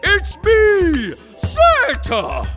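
Manic, high-pitched bellowing Santa. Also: male voice, wildly swooping pitch, extremely slow, over music, full character voice. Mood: mock indignant, proclaiming. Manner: booming theatrical proclamations. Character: bellowing, manic fake mall Santa, boastful and jolly.

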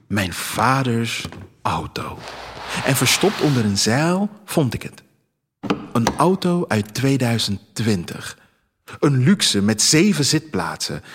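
A man narrating a scripted first-person audio drama in a measured, reflective storytelling manner. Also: mid-range voice, slight background noise. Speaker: author reading own story. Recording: music under the voice. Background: music bed.

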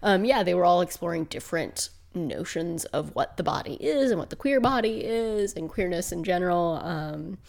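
A soft-voiced woman co-hosting a casual movie podcast, recorded in a living room at home. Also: on the low side, lively intonation, normal speed, vocal fry, hardly any pauses. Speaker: podcast co-host.

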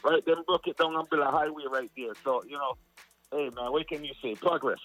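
A Guyanese man with a light Jamaican lilt talks through his life in a relaxed interview. His voice sits a little high and sounds bright. He restarts phrases as he tells his stories, and faint music plays underneath. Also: fairly quick, low-quality recording. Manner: laid-back, storytelling, repeats words as he restarts. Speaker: guest musician. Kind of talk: casual interview chat.

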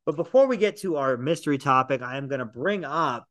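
A man's voice: medium pitch, expressive, fairly quick, a bit bright, dry recording, studio quality. Enthusiastic, profane man hosting a podcast. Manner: enthusiastic, profane, rambling with restarts. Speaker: podcast host, film reviewer. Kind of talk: casual chat.

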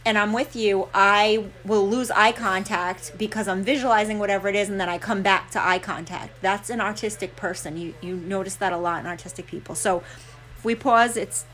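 A woman's voice: medium pitch, fairly even intonation, a little fast, a bit bright. Chatty Rhode Island woman podcasting. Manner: chatty, laughs often, lots of fillers. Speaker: podcast co-host. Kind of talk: casual chat.

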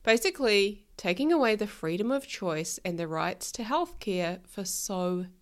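A woman's voice: mid-range voice, expressive, measured pace. Kiwi lady podcast host, bit stuffy and nasal, blunt and outspoken, says it like it is. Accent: New Zealand. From New Zealand. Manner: frank, outspoken, swears. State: nasal from illness. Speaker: podcast host, life coach. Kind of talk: casual solo podcast monologue.

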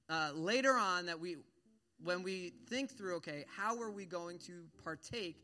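A man's voice: sits high, bright, forceful tone. A man leading his church as pastor, speaking earnestly from the pulpit and often restarting with repeated words and fillers.

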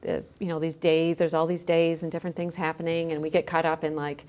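A woman's voice: deep voice, flat delivery, quick pace, darkish tone. A woman guest on a podcast, explaining her work in a conversational way like a teacher.

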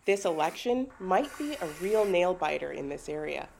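A woman reporter narrating a news segment in a measured, scripted delivery. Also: lowish voice, very animated, vocal fry, a little mellow, slight background noise.

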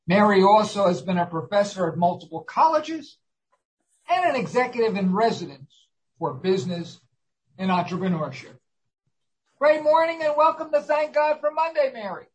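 A man's voice: high voice, animated, normal speed, rough recording. A man hosting a talk show, interviewing a guest in an earnest, formal, scripted-sounding manner. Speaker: host.

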